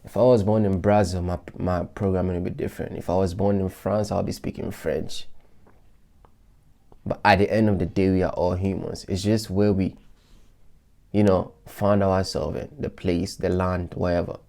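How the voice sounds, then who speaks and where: A man with a very low, gravelly voice and a dark, mellow tone talks straight to his followers. He is reflective and loose, restarting his sentences and leaning on fillers as he thinks out loud. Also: flat delivery, normal speed. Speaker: vlogger. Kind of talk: casual motivational talk to followers.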